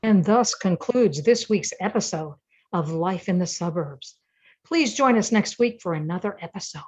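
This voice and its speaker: An older woman reading scripted narration for a radio play, with a husky voice. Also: fairly low pitch, lively intonation, moderate pace, lo-fi sound.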